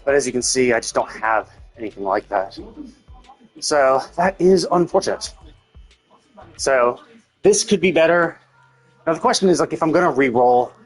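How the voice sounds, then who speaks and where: A man giving casual, unscripted gameplay commentary on a live stream, rambling and thinking out loud in a husky voice. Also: medium pitch, animated, normal speed, pauses often, faint music behind, poor audio.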